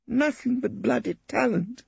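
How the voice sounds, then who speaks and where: Old bohemian painter, male, expansive and boastful; raised, husky. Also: measured pace, a little mellow, no room sound, highs cut off, exaggerated voice. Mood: boisterous. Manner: booming, theatrical, expansive. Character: boisterous hearty old male artist, swaggering.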